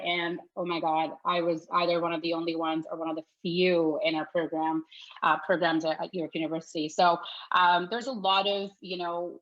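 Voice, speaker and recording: A woman's voice: lowish voice, fairly bright tone. A woman from Pakistan, a startup founder on a panel, talking earnestly with lots of fillers. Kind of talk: panel self-introduction. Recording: muffled lo-fi audio.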